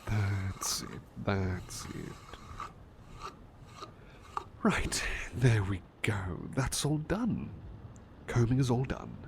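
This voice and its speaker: A gentle, doting male butler, acted by a man in an ASMR roleplay, speaking very slowly in a lowish voice with lively swoops. He is cheeky and a little hesitant, repeating soft phrases close to the mic. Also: noisy background, full character voice. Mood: soothing. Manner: hesitant, repeats phrases soothingly, often drops to whispers. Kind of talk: ASMR personal attention roleplay.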